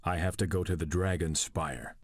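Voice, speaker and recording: An actor playing a solemn, resolute heroic clan lord in a video game, firm and grave in manner. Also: male voice, deep voice, fairly even intonation, moderate pace, dry recording, studio quality. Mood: solemn resolve. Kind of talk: video game cutscene acting.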